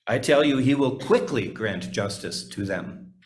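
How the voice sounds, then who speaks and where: A man's voice: medium pitch, expressive, unhurried. A man reading Bible passages aloud as a scripture reader in a church service.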